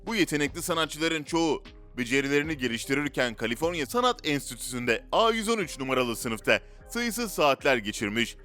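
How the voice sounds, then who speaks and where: A man's voice: medium pitch, sharp tone. A man reads scripted narration in a dramatic, rhetorical storytelling way. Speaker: narrator of a list video. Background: background music.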